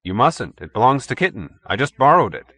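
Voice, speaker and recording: A man voicing a gentle old man with a mild, earnest, reasonable way of talking, in an audiobook story. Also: medium pitch, very animated, a little fast, voice pushed up.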